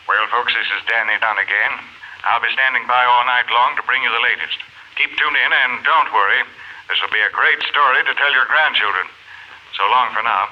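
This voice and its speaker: Man acting a folksy news announcer, brisk, punchy, mid-range voice, dull 1950s radio recording. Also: medium pitch, lightly acted. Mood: reassuring. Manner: punchy, chatty announcer delivery. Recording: muffled 1950s radio broadcast.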